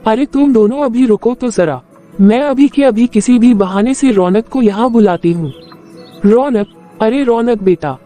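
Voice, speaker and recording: A woman's voice: fairly high pitch, unhurried, dark tone, faint music behind, lightly acted. A woman playing a scheming, possessive older mother in an audio family drama. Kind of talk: Hindi audio story acting.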